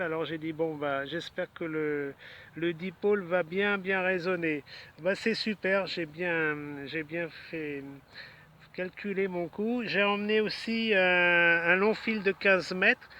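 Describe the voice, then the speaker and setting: A man's voice: sits high, sharp tone, muffled recording. A man chatting casually as an amateur radio operator, in short phrases peppered with tag words. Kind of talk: casual ham radio chat. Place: outdoors in a wooded park.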